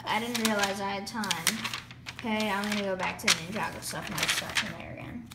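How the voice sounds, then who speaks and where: A woman's voice: mid-range voice, steady tone. A woman who is losing her voice, casually rambling as she flips through a toy catalog for her vlog. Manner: rambling, lists items quickly with filler words. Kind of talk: casual off-the-cuff vlog commentary. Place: indoors, room. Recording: echoey room with clear background noise.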